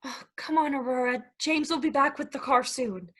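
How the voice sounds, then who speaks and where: A woman's voice: high-pitched, moderate pace, fairly bright tone. A woman reading the part of an emotional, hesitant, guilt-ridden young woman in a stage play. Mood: soothing, guarded.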